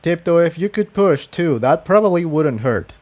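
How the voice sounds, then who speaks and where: A man's voice: fairly high pitch, animated, moderate pace, a little mellow, pitched above the performer's own voice, close to an everyday voice. A man voicing a cautious, serious, learned male unicorn in a fantasy story reading, earnest and wary. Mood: cooperative.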